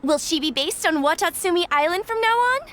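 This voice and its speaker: A woman's voice: very high voice, lively intonation, a little fast, a bit bright. A woman playing a curious, flustered young villager in a video game, hesitant and polite.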